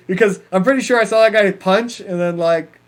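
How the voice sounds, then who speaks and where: Male podcast co-host, high voice, informal film chat, jokey and quick to laugh. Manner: jokey, laughs often while talking. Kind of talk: casual movie review chat.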